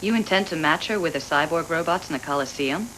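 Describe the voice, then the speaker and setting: A woman's voice: fast, fairly bright tone, rough recording. A woman acting a weary, bitter, washed-up doctor, resigned and guarded, in an English anime dub. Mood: wary. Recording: VHS tape with faint rumble.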